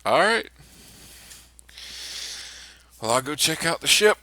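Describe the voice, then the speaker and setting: A man's voice: a little high, very animated, fairly quick, pushed and bright, no room sound. A man chatting casually as a player in a tabletop game. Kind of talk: casual group game chat.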